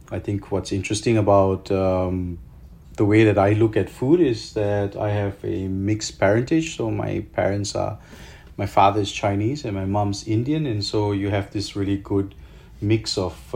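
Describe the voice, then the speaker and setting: Low-voiced Malaysian man, explaining haltingly. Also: gravelly, dark tone. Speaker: interview guest, chef. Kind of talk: conversational interview answers.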